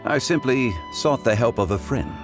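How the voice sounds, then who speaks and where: A man voicing a calm, dignified, learned figure in a video game, speaking in a measured, formal way. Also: medium pitch, over music, lightly acted. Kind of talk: game voice acting.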